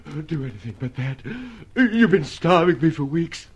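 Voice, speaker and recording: An actor playing a starved, terrified prisoner in a radio drama, desperately begging in a strained voice. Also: male voice, a little high, animated, moderate pace, husky. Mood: terrified, pleading. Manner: desperate, wailing. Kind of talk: old radio drama acting.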